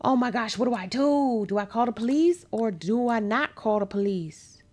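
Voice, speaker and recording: A hoarse woman playing a story game, reacting excitably, laughing often and talking straight to her viewers. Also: fairly high pitch, normal speed. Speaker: game streamer. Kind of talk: let's play commentary.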